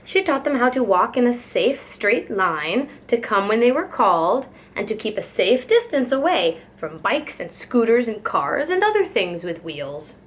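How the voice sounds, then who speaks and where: A woman's voice: a little high, sing-song, measured pace, hardly any pauses, slight background noise. A woman reading a children's picture book aloud like a preschool teacher, expressively and with care for young listeners.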